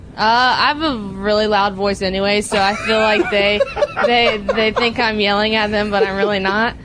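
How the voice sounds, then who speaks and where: A woman's voice: mid-range voice, steady tone, moderate pace, heavy background noise. A young woman, a race car driver, giving casual, conversational interview answers. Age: young adult.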